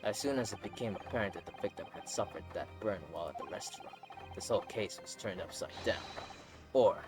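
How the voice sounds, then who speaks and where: A man reading video game courtroom dialogue aloud in a deliberate manner. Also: lowish voice. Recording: game music behind voice.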